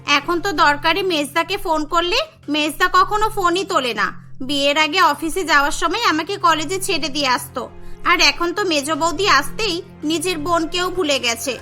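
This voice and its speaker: Woman as high-voiced aggrieved little sister. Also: moderate pace, pushed and bright, soft background music. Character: young college-age daughter, aggrieved little sister.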